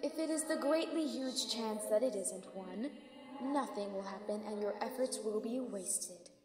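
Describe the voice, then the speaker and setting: A woman's voice: fairly high pitch, unhurried, reverberant, in a large hall, music in the background, lightly acted. A woman voicing a smirking, dismissive female pony with a taunting, jaded manner in an amateur fantasy audio drama.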